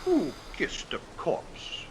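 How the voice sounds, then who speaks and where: An actor in an old-time radio drama playing a wealthy, secretive, middle-aged father with a pompous, brusque manner who repeats his words. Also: very slow. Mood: fussy, bossy. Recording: noisy vintage radio broadcast recording.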